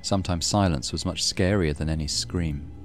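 A man's voice: low voice, normal speed, soft background music. A man hosting a let's play, reading a horror visual novel aloud with dramatic storytelling and muttered asides. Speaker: gaming channel host.

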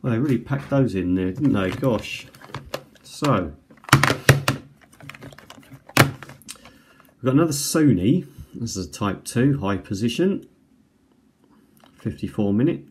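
A man's voice: mid-range voice, lively intonation, slow, long pauses. A man giving casual unboxing commentary as a video host, hesitant and musing as he thinks aloud. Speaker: video host reviewing items. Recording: home recording with faint background noise.

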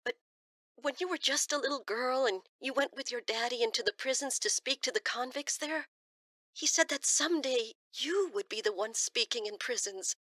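An actress playing a devout, concerned mother in a radio drama, solemn and gently urgent. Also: high-pitched, moderate pace, no room sound. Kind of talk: radio drama acting, reciting scripture.

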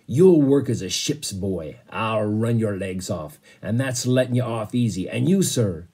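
Gruff, bullying old ship's captain for a storybook, acted by a man, husky and roaring. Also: fairly low pitch, expressive, measured pace, mellow, full character voice. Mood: gruff, angry. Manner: roaring, bullying, salty exclamations. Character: gruff, blustering old sea captain, bellowing orders.

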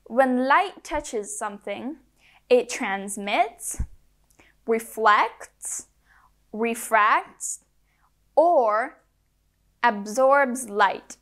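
A young woman presents a scripted lesson for young learners. Her pitch is on the high side, and she speaks at a very slow pace, setting out the words one by one. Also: expressive, a bit bright. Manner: measured, word-by-word delivery for learners. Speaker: presenter of a children's science lesson. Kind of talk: scripted educational narration in simple English.

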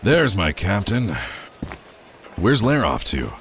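An actor playing a loyal, familiar warrior comrade in a fantasy audio drama. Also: male voice, on the low side, very animated, takes it slowly, fairly bright tone, slight background noise, heavily acted. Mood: eager, familiar.

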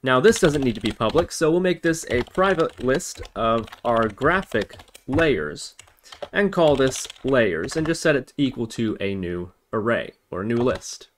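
Man, medium pitch, tutorial host, methodical step-by-step explaining, slight background noise, typing and clicking. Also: animated, measured pace. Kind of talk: scripted-feeling coding tutorial narration. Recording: noisy, with keyboard typing and clicks. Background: computer keyboard typing and mouse clicks.

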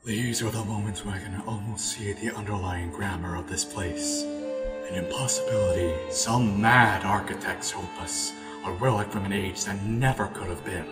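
A man playing a haunted, paranoid scientist in a comic fan dub, musing slowly and ominously in philosophical monologue. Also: lowish voice, lightly acted. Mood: musing, dark. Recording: echoey voice under loud music.

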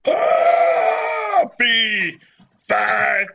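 Booming, gravelly storybook giant. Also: male voice, sing-song, extremely slow, pushed and bright, raised voice, exaggerated voice. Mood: booming, menacing. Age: middle-aged. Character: grumbling cow and fierce booming giant. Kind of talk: radio drama play over video call. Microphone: headset on a video call. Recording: muffled video call audio.

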